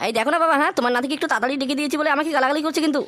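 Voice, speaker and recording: A man voicing a fussy, coaxing father in an amateur comic cartoon, nagging repetitively in a pitched-up voice. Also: rapid-fire, pushed and bright. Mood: placating. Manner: repetitive nagging with questioning tag words. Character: fussy, coaxing father rousing his foul-mouthed son.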